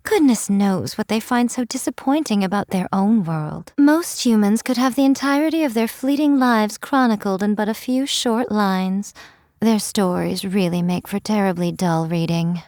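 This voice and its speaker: A woman's voice: medium pitch, darkish tone, no room sound, clean studio sound, natural voice. A woman voicing a sly, teasing fox-spirit shrine priestess in a video game, speaking in a languid, amused way. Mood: wry. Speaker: game character voice.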